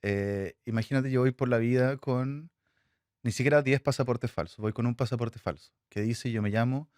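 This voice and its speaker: A man, a filmmaker, answering interview questions in a halting way, with many fillers and restarts. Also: mid-range voice, a little mellow, no room sound, clean studio sound. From Chile. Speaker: filmmaker guest.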